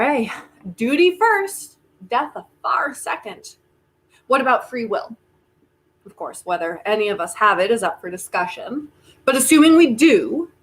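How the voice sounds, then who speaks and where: A woman playing a genial, self-important, theater-loving tycoon in a comic play reading, talking in a wry, coaxing, theatrical manner. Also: on the high side. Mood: wry, probing. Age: older. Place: remote virtual reading. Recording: noisy online video call audio.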